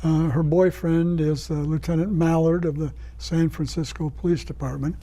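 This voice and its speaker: A man answering interview questions as a guest, explaining things in a measured, informative way. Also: a little high, flat delivery, warm tone. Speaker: guest, writer and director of radio plays.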